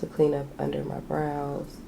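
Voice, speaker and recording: A young woman with a raspy, hoarse voice, chatting candidly and swearing casually as she does her makeup at home. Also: monotone, unhurried, dark tone, a little hiss or hum. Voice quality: raspy, deep voice. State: hoarse from sinus trouble. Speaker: beauty vlogger. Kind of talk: casual chat while doing makeup. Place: small bedroom with shelves and a lamp.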